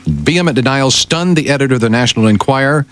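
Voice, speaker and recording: A man's voice: mid-range voice, expressive, fast talker, a bit bright. An American man reading news on the radio as a co-host, slipping into casual banter.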